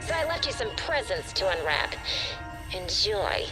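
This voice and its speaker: A woman's voice: medium pitch, big pitch swings, a little slow, poor audio. A woman playing a mischievous, taunting supervillain in a video game, with a playful, theatrical delivery. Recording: loud music behind the voice.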